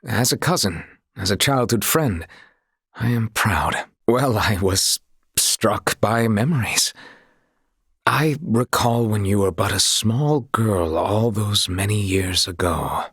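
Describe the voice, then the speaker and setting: An actor playing an earnest, chivalrous young knight in a video game, speaking formally and courteously with a husky, breathy voice. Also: male voice, lowish voice, slow pace, fairly bright tone, dry recording, studio quality, heavily acted. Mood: sincere, reflective. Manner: formal, archaic, courtly phrasing.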